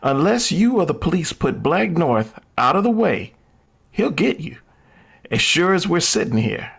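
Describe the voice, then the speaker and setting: A reckless young man from an old-time radio thriller, played by a male actor. His voice is husky and fairly high, and it swings freely as he talks. He is flippant and defiant, a thief in it for the thrill. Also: very animated, a little slow, fairly bright tone, heavily acted. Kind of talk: vintage radio drama acting.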